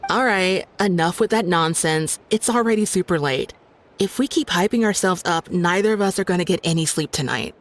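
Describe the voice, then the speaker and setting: A woman playing a spirited, feisty young townswoman in a video game, with a brisk, scoffing manner. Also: lowish voice, slight background noise, close to an everyday voice. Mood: thoughtful, dismissive. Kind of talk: video game character acting.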